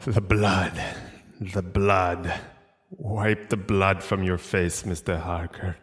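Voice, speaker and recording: A man playing a courteous, menacing aristocratic vampire in a gothic audio drama, speaking with cold deliberation. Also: sits low. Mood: hungry, warning. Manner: slow, deliberate, coldly courteous. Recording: long cavernous reverb, music underneath.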